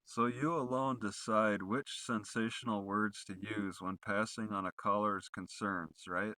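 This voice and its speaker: A man's voice: fairly low pitch, steady tone, a little slow, pushed and bright, no echo. A man putting accusatory, leading questions in the style of a rehearsed cross-examination.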